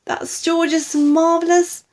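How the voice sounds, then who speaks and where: Storybook mum, played by a woman: frantic, wailing, pleading, pitched high. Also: lively intonation, measured pace, darkish tone. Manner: crying out, pleading. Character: anxious, wailing mother, frantic and easily flustered.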